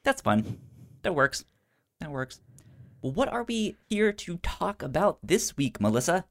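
A man co-hosting a casual film chat, speaking haltingly and often repeating words mid-sentence. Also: on the high side, sing-song. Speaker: podcast co-host.

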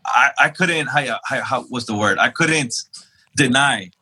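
A man's voice: medium pitch, measured pace, pushed and bright, slightly rough. A young adult man from Queens, New York, a pastor telling his testimony in a casual interview, talking conversationally with fillers and restarts. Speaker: pastor, podcast guest.

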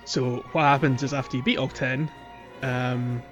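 A man talks through a video game as a podcast co-host in a casual, conversational way. His voice sits at a middling pitch, and he explains things haltingly, with a jokey streak. Music plays underneath. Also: fairly even intonation, lo-fi sound.

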